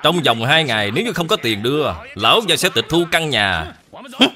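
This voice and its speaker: A man's voice: on the high side, sing-song, normal speed, pushed and bright, full character voice. A man voicing a haughty, bullying landlord and debt collector in a cartoon dub, barking his demands imperiously. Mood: stern, threatening. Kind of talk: animated film dubbing.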